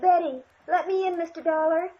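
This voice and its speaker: A frightened young woman in an old radio noir drama. Her voice is very high and imploring, full of anxiety. The vintage recording is dull and muffled. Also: steady tone, a little fast, dry recording. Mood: pleading. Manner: anxious, imploring. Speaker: radio drama supporting actress. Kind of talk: 1950s radio drama acting.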